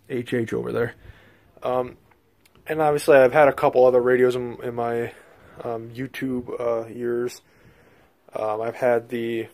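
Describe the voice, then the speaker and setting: An American man with a slightly gravelly voice giving a casual, halting, rambling video tour indoors on a handheld phone. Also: mid-range voice, darkish tone. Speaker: host, hobbyist collector. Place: small bedroom, indoors. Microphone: handheld phone, speaker behind the camera.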